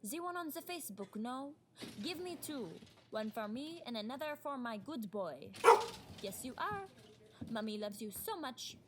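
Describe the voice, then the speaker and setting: A woman's voice: sits high, sing-song, normal speed. A woman playing an entitled, doting dog owner and demanding customer, haughty and melodramatic, with faint noise behind her.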